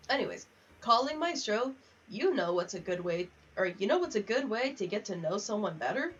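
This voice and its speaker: A woman's voice: mid-range voice, big pitch swings, unhurried, pauses often, a bit bright, breathy edge. Candid, sweary woman streamer, dull distant mic. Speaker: livestream host. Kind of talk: casual gaming livestream chat, reading in-game letters aloud. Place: home office.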